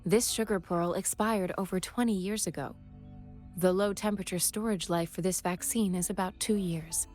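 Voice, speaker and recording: A woman's voice: fairly low pitch, fairly even intonation, moderate pace, a little mellow, faint music behind, natural voice. A woman voicing a composed, precise researcher in a video game, speaking formally and methodically. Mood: composed, informative. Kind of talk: video game character dialogue.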